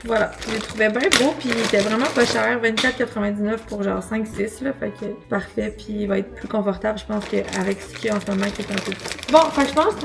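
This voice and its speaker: A woman's voice: medium pitch, fast talker, vocal fry, few pauses, background noise. A woman with a Quebec French accent chatting casually to her vlog in an informal, rambling way, peppered with slang and English words. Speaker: vlogger and entrepreneur.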